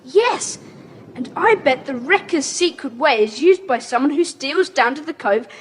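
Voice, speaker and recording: A woman playing an eager, inquisitive British child adventurer in a children's audio drama, animated and emphatic. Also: high-pitched, unhurried. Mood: intrigued, excited. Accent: British English. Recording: faintly hissy cassette tape.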